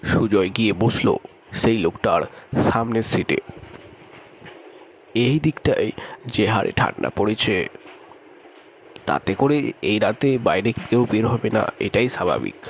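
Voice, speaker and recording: A man narrating a horror story in a measured storytelling manner. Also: slight background noise. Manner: measured storytelling, raises his pitch for the young hero's lines.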